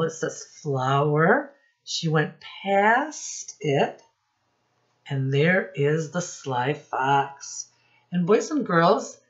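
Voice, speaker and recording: A middle-aged woman reads a picture book to little children at a very slow pace, in a fairly low voice. She stretches key words and puts questions to her young listeners like a teacher. The sound is a bit dull, from a webcam in a small room. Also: fairly bright tone. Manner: instructive, prompting listeners with questions, drawn-out emphasis on key words. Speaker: children's storytime teacher and reader.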